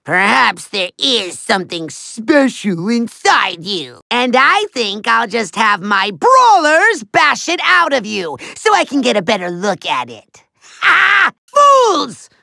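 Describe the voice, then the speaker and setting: A man playing a pompous, tantrum-prone little evil overlord in a video game, with a shrill, gravelly, bombastic delivery. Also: big pitch swings, bright, forceful tone, no room sound, exaggerated voice. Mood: sly, menacing, then exasperated. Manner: bombastic, theatrical, drawn-out emphatic words. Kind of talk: video game villain acting.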